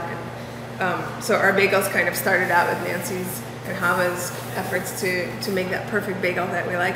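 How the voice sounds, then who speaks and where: A woman from Russia, a deli co-owner answering interview questions in a halting, warm way with many restarts. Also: lowish voice. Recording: echoey hall, mic far off, noisy.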